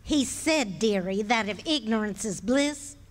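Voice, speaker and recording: A woman's voice: on the high side, animated, unhurried, a little hiss or hum. A woman playing a patient, dryly witty middle-aged wife in a live radio play. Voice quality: dry, teasing.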